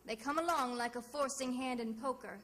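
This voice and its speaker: A woman's voice: sits high, brisk, fairly bright tone. An actress playing a breezy, shrewd female private eye in old-time radio detective drama, wry, glib and teasing. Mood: wry narrating. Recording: vintage radio drama recording with music.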